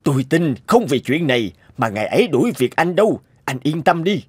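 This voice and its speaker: A man's voice: on the high side, big pitch swings, brisk, darkish tone, husky. A man voicing a busy, grumbling male research scientist in a cartoon, with a harried manner. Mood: impatient. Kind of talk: cartoon voice acting.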